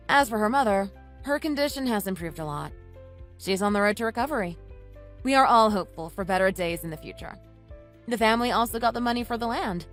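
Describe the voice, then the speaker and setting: A woman's voice: mid-range voice, a bit bright. A woman reading scripted story narration.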